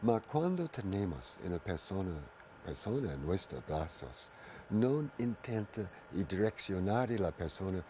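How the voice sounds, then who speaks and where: An older man with a strong Italian accent, speaking slowly and haltingly in a very low, mellow voice. He searches for words, repeats phrases and restarts often. There is a faint hiss of background noise behind him. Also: very animated. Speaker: guest, Watsu originator. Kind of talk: radio interview answers.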